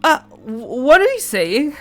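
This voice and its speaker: A woman's voice: high-pitched, big pitch swings, a little slow, pitched above the performer's own voice. A woman voicing a vain, easily rattled male creature with a mane, stammering as a reluctant phone worker, over music. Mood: flustered.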